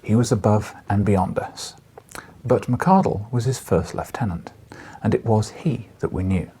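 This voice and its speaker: A man's voice: on the low side, measured pace, dark tone, husky. A man reading a classic novel aloud as scripted literary narration. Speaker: audiobook reader.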